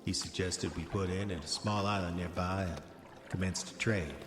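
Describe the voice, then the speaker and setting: A man's voice: deep voice, fairly even intonation, normal speed, natural voice. An actor playing a haunted, hot-tempered prospector confessing in his diary, with formal old-fashioned, brooding delivery in a horror audio drama. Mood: solemn, recounting. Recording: echoey voice with long reverb, music behind. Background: music.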